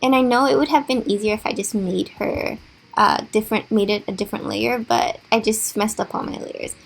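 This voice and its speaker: A young woman with a raspy voice, chatting casually and with self-deprecating humor as she talks over a drawing timelapse. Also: mid-range voice, fairly even intonation, brisk, faint music behind, rough recording. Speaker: gaming YouTuber.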